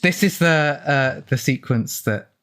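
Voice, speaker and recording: A man's voice: medium pitch, a little slow, a bit bright, clear, resonant tone. A man chatting casually as an author guest on a film commentary, in a hesitant, rambling way, often breaking off and restarting his sentences. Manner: hesitant, rambling, self-interrupting.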